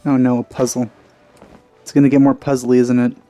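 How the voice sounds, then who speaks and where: Mellow man doing casual game commentary. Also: medium pitch, little pitch movement, fairly quick, soft background music. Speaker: let's play host.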